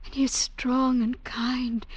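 An actress in old-time radio drama playing a gentle, devoted young village woman in love, speaking softly and tenderly. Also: high-pitched, flat delivery, slow, dry recording, exaggerated voice. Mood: pleading. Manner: soft, breathy, tender. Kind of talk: 1940s-50s radio drama acting. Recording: dull vintage radio recording.

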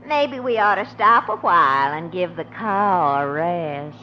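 An American actress playing a sweet, dreamy, romantic sweetheart in an old-time radio sitcom, with a coy, languid delivery. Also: female voice, on the low side, lively intonation, unhurried. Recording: muffled, noisy early 1950s radio recording.

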